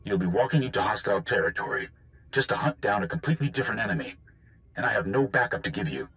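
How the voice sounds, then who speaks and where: An actor playing a steady, duty-minded military commander in a video game, blunt and matter-of-fact. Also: male voice, on the low side, fast talker. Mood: concerned.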